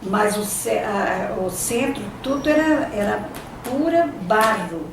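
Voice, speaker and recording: Slow older woman recalling the past. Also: medium pitch, lively intonation, noisy background. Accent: Brazilian. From Brazil, São Paulo state. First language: Italian. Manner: halting, searching for words, many restarts. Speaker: interviewee. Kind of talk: oral history reminiscing. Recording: echoey room, distant mic, faint ambience.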